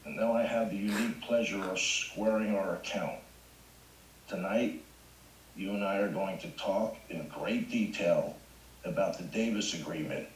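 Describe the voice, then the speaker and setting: Guy as strict sarcastic teacher, lowish voice, formal and dry, echoey room. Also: moderate pace, slight background noise, close to an everyday voice. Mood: dryly menacing. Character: stern, dryly sarcastic male high school teacher. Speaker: actor at a table read. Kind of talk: live comedy table read.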